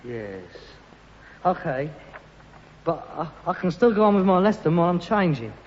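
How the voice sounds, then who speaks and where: An actor playing a put-upon, pretentious London son, hesitant, stammering and easily indignant, in British sitcom style. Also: male voice, fairly high pitch, sing-song, normal speed. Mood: anxious. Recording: muffled, noisy archive recording, echoey hall.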